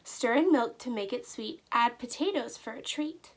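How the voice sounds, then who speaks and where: A woman narrating a children's storybook aloud with expressive, deliberate storytelling. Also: fairly high pitch. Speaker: teacher reading aloud.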